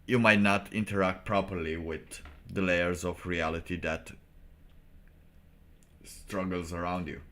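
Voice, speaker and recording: Very low-pitched man rambling off the cuff. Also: level tone, fairly bright tone. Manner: halting, rambling, philosophizing. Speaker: live streamer reacting to motivational videos. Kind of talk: off-the-cuff commentary.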